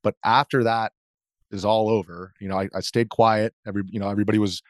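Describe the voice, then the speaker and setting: An American man speaks as an interview guest in a fairly low voice. He explains himself earnestly and conversationally, often restarting his phrases. The recording is dry. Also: steady tone, fast talker, no room sound.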